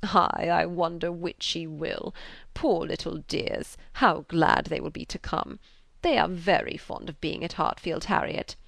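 A woman voicing a gentle, fretful, doting old father in an audiobook, plaintive and hesitant, rambling along. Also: on the low side, a little slow, creaky, dry recording, studio quality. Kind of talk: audiobook character reading of a classic novel.

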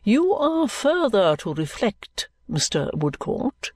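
A woman voicing a pompous, condescending senior male lawyer in an audiobook, with grand, drawn-out phrasing. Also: mid-range voice, lively intonation, no room sound. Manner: orotund, drawn-out, pompous, self-satisfied speech.

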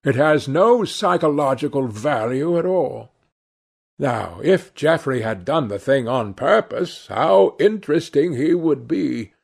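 A man voicing a languid, witty, cynical aristocratic gentleman in an audiobook, with drawling, epigrammatic speech. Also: fairly high pitch, animated, a little slow, vocal fry, slightly rough, heavily acted. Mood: amused, provocative.

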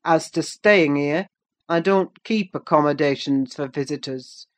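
A stern master of a lonely farmhouse, acted out by a woman narrator, curt and commanding. He is surly and unwelcoming, a storybook tyrant with a low-set voice for a female reader. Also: a little slow.

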